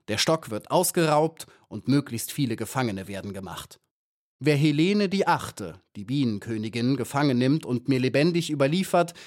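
A man's voice: medium pitch, close to an everyday voice. A man voicing a female hornet queen who gives orders in a stately manner.

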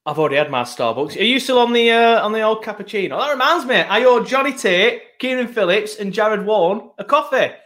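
Chatty podcast host, higher voice. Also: male voice, expressive, a little fast, hardly any pauses, fairly bright tone. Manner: chatty, rambling with many ums. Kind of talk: casual football podcast chat. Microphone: laptop setup, video podcast.

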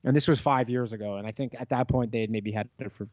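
A man hosts a relaxed podcast chat, agreeing readily and trailing off mid-sentence. He talks fast in a middling voice, and the recording is a little dull and dry. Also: mid-range voice, no room sound. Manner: quick, agreeable, lots of yeahs, trails off.

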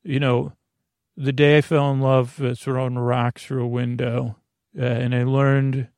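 A man hosting a sleep podcast in a meandering, halting, self-deprecating monologue, often repeating himself and trailing off, with a gravelly voice. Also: mid-range voice, flat delivery, darkish tone, dry recording, clean studio sound. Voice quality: creaky, gravelly. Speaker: podcast host.

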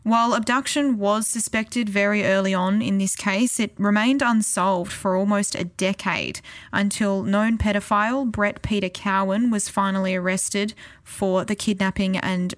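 A woman reporting for a podcast. Her voice sits at a medium pitch, and she explains in a scripted narrative style with a dry, close sound. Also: no echo.

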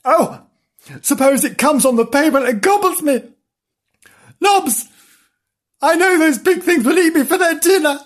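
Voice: mid-range voice, sing-song, slow, a bit bright, pitched above the performer's own voice. A performer voicing a naughty little boy kitten from a children's storybook, boastful but easily frightened, in plaintive exclamations. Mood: terrified.

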